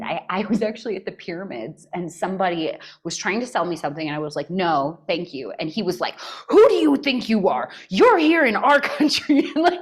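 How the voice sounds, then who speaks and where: An American woman in her forties, a podcast guest telling stories in a casual, animated way and often laughing. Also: medium pitch. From United States. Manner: animated and expressive, breaks into laughter. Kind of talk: casual conversational storytelling.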